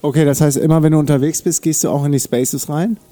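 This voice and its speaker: A man hosting a podcast, interviewing in a relaxed, slangy, upbeat way. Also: fairly high pitch, fast talker. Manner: upbeat, laid-back, slangy. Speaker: podcast host. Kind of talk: casual interview chat. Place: outdoors at an evening camp.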